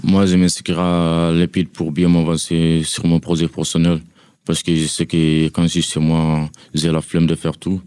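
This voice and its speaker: A nineteen-year-old man speaks in a relaxed, plain way. His voice is very deep, dark and flat. The sound is dry, with soft music underneath. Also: normal speed, clean tone, no echo. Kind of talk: casual radio introduction.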